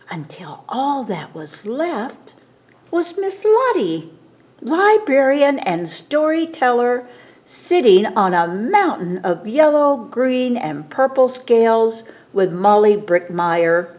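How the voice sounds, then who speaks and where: A woman reading a picture book aloud to children as a storytime reader, in a dramatic, expressive manner. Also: medium pitch, takes it slowly.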